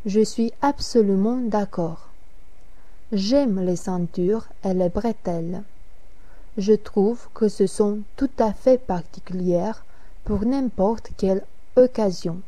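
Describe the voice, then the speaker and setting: A woman reads Anne, a practical adult woman, in a learners' dialogue. Every phrase comes slowly and carefully. The tone is warm and dark. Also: medium pitch, close to an everyday voice. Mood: plain. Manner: careful, evenly paced reading. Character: adult woman who likes simple sporty clothes. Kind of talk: slow scripted language-lesson dialogue.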